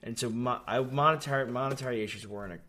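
A young man from New York, a podcast guest, chatting casually in a rambling, animated way with many fillers. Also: medium pitch, fast. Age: young adult. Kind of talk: casual chat.

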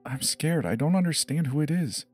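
A man's voice: a little high, expressive, moderate pace, a little mellow, breathy edge, faint music behind, voice pushed up. A man voicing a scared seven-year-old boy in a dramatized horror story, hesitant and pleading, stammering over his words. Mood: anxious.

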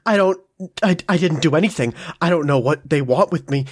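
A man's voice: on the high side, a little fast, slightly rough. A man voicing a bewildered, pleading soldier held captive, stammering in panic. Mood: pleading, panicked.

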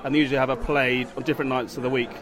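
A man's voice: mid-range voice, level tone, very fast, crowd noise. A man who has had a few drinks, co-hosting a podcast in rambling, matey pub chat. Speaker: podcast co-host.

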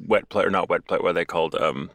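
A man's voice: sits low, fast, a bit bright, dry recording, studio quality. A man co-hosting a podcast, reacting in casual chat with wry, playful interjections. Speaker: podcast co-host.

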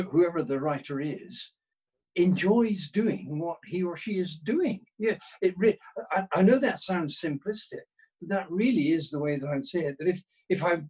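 Older male interview guest, higher-pitched with a husky grain, modest, hesitant and conversational. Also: darkish tone, low-quality recording. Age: 60s or older. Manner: self-deprecating, hesitant, thoughtful, rephrasing as he goes.

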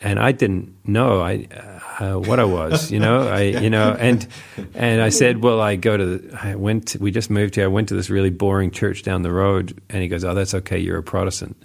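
Low gravelly man with a mixed Kiwi accent. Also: fairly even intonation, moderate pace, hardly any pauses. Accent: New Zealand with Northern Irish and American traces. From New Zealand. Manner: chatty and self-deprecating, laughs often, frequent fillers. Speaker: interview guest. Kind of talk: casual conversational storytelling.

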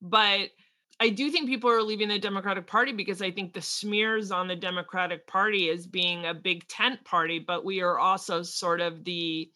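A woman co-hosts a casual podcast, her voice bright and a little tense. She talks fast and animated, breaking into laughs and quick asides. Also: medium pitch. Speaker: podcast co-host. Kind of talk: casual political chat.

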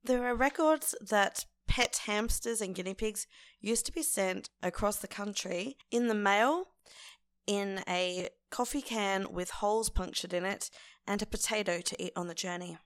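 A woman hosting a casual solo podcast in an informal, conversational way, with a croaky, hoarse voice. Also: mid-range voice, unhurried, dry recording. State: lingering hoarseness after laryngitis. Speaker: podcast host.

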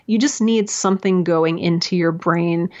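A woman guest in a casual interview, giving advice in a chatty, animated way with frequent fillers. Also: on the low side, normal speed, dull audio. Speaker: guest, writer and audio drama creator.

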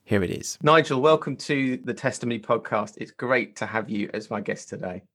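A man hosting a faith podcast, reading a scripted intro and then chatting with a guest in an earnest, measured way. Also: medium pitch, a bit bright. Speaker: podcast host.

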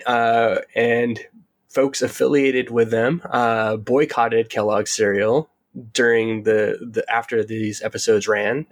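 A man with a lowish voice co-hosts a casual podcast. He feels his way through his points aloud, tentative and conciliatory toward the other side. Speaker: podcast host. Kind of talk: casual discussion.

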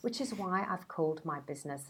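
A woman's voice: low voice, lively intonation, a little fast, a bit bright, a little hiss or hum. A woman in her forties with a South African accent, telling stories as a podcast guest. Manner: chatty, storytelling. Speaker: guest, brand strategist. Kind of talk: podcast interview.

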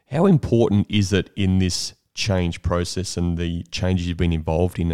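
Deep-voiced male interviewer. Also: a little mellow. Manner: probing, conversational questions. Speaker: interviewer, host. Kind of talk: interview questions.

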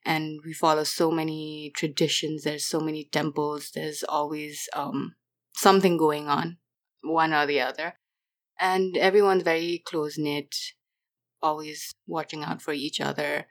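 A woman's voice: sits low, steady tone, unhurried. A young adult woman from Nepal, a guest chatting casually in an interview, with many fillers and restarts.

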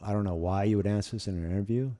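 A man asking interview questions in a relaxed, casual podcast chat. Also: lowish voice, very fast.

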